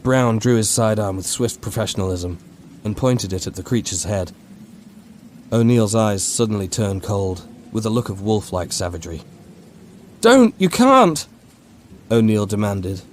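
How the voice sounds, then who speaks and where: A man reading a scripted horror audiobook as narrator, in a measured, dramatic storytelling manner. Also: on the low side, fairly even intonation, darkish tone, a little hiss or hum.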